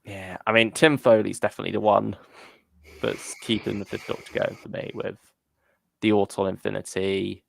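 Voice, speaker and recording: A man with a very creaky, gravelly voice on the low side, co-hosting a relaxed fan chat show. He speaks casually and a little tentatively, as if working out his opinion. The sound is dry and close. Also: moderate pace, no room sound. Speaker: co-host of a fan discussion show. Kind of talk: casual chat, reading out viewer comments.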